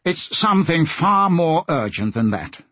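A man's voice: fairly high pitch, very animated, unhurried, a bit bright, dry recording, pitched above the performer's own voice, exaggerated voice. A man voicing a tall, intense diplomat in a storybook, formal, urgent and insistent. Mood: impatient. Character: tall, intense, impatient male diplomat on secret mission.

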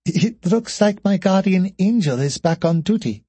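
Man voicing a light, higher-pitched playful woman scientist. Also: lively intonation, a little slow, slightly rough, dry recording, full character voice. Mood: teasing. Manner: light, soft. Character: playful, self-assured female scientist, a doctor.

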